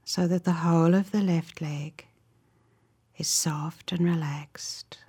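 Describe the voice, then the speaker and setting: A woman guides a relaxation meditation with a soothing, gentle manner. Her voice is fairly low and breathy, often close to a whisper. She takes her time, delivering each short instruction slowly and evenly. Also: steady tone.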